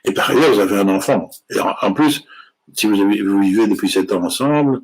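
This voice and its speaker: A man's voice: fairly low pitch, brisk, low-quality recording. A man working as an immigration lawyer, answering viewer questions live and explaining the law with frequent hesitations and restarts.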